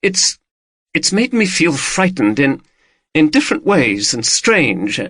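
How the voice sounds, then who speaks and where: Brief: man doing a shaken woman, higher and bright, pleading and anxious. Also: big pitch swings, slow, husky, dry recording, exaggerated voice. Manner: anxious, pleading, rising to shouts. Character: frightened, tearful woman confronting her partner.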